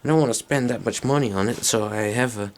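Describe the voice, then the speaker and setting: A man's voice: mid-range voice, quick pace, noisy background. A man giving a casual, unscripted hands-on demonstration as an electronics hobbyist, hesitant and thinking aloud as he goes. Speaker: electronics hobbyist presenting a tape recorder.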